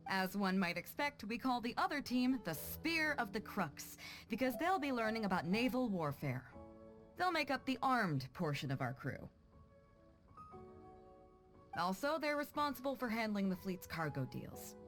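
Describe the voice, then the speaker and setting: A young, confident female sea captain in a video game. Her delivery is brash and playfully commanding, with a good-hearted streak and very animated intonation. Soft music sits behind the voice. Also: fairly high pitch, normal speed. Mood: confident, instructive.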